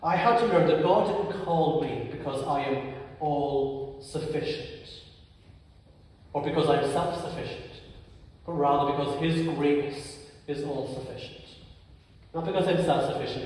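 Earnest preacher with a stammer, echoey church. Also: male voice, a little high, darkish tone, far from the mic. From Northern Ireland. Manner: earnest, personal preaching with slow emphatic pauses. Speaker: clergyman. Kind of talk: sermon. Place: large church sanctuary, indoors. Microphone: far camera.

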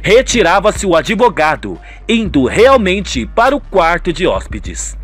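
High-voiced Brazilian man narrating a soap. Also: sing-song, measured pace, a bit bright, slightly rough, faint music behind. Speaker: narrator and performer of a radio soap. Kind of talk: dramatic scripted narration.

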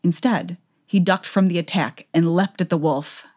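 Low-voiced woman narrating a story. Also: moderate pace, a little mellow, husky. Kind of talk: scripted dramatic audiobook narration.

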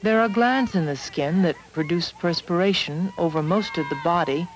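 A woman reading scripted narration for an educational film in a measured, instructional manner. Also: on the low side, a little hiss or hum, poor audio.

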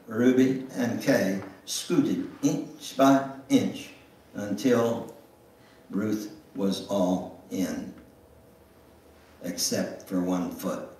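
An older man gives a dramatic memoir reading in a church, theatrical and deliberate, drawing out words and pausing for emphasis. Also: lowish voice, a little mellow, far from the mic. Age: older, 70s or above. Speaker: guest speaker reading at a church. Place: church sanctuary, indoors at the front. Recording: roomy, echoing church sound from afar.

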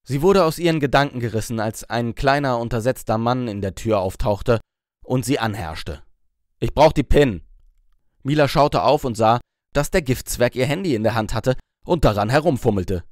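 A man narrating a scripted audiobook story in an expressive, measured way. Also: medium pitch, dry recording, studio quality.

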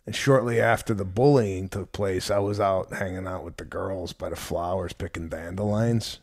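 A man co-hosting a podcast, telling a story in casual chat and getting animated when amazed. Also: deep voice, normal speed, no room sound, clean studio sound. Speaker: podcast co-host.

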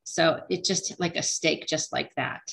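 A woman's voice: deep voice, measured pace, creaky, fairly bright tone, poor audio. A woman teaching a casual cooking demonstration, chatty and rambling with frequent ums and restarts. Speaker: dietitian cooking instructor.

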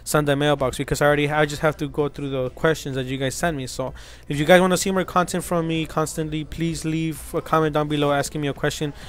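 A man's voice: a little high, level tone, fast, clean tone. A young man from Mexico, hosting his gaming channel, talking casually and earnestly over gameplay, rambling with many fillers and restarts. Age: young adult.

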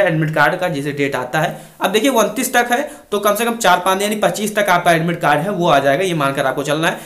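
A man's voice: a little high, a bit bright, reverberant. A young man giving an informal, instructive explainer to students, repeating key points. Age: young adult. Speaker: exam-news YouTuber and coach. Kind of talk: informal explainer addressed to exam aspirants.

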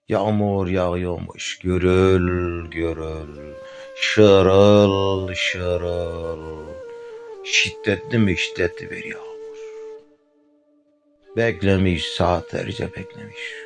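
A deep-voiced man tells a fairy tale in a gentle, singsong way, as if to a child. His delivery is slow, with lively rises and falls in pitch. A faint flute plays behind him. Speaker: storyteller. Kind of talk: bedtime fairy-tale narration. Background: faint flute music.

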